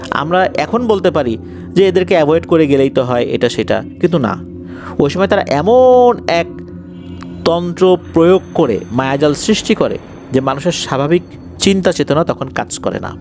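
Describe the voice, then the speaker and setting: Bangladeshi man telling horror stories, animated pitch. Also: moderate pace, soft background music. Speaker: horror story show host and narrator. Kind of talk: dramatic horror storytelling addressed to listeners.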